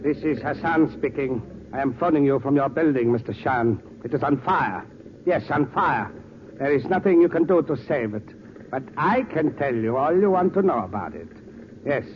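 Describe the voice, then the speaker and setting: An actor playing a frightened, shifty foreign manservant in a 1940s radio drama, speaking halting broken English. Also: male voice, medium pitch, animated, natural voice. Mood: sly, controlled. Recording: muffled, noisy 1940s radio recording.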